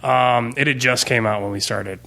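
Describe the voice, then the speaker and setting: A man's voice: mid-range voice, steady tone, moderate pace, vocal fry, bright, forceful tone, slight background noise. A man talking informally as a podcast guest and game master, thinking aloud as he explains his campaign design.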